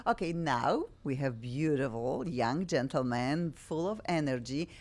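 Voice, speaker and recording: A middle-aged woman from Poland with a Polish accent, hosting a talk show in an effusive, animated way. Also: rumbling low, measured pace. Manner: effusive, bubbly, animated, frequent laughs. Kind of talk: excitable talk show chat.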